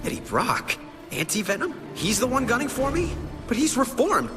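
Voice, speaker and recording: Young american superhero, cocky and quippy, high animated voice, loud music behind. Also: male voice, normal speed. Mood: surprised. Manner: flippant, quippy, incredulous. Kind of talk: animated video game voice acting.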